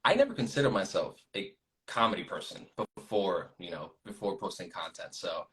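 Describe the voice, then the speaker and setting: A man's voice: low voice, animated, a little fast, rough recording. A man in his twenties with a Puerto Rican accent, Spanish his first language, talking earnestly as a guest on a live video call with many fillers. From Puerto Rico. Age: 20s. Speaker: guest content creator. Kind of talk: casual interview on a live stream.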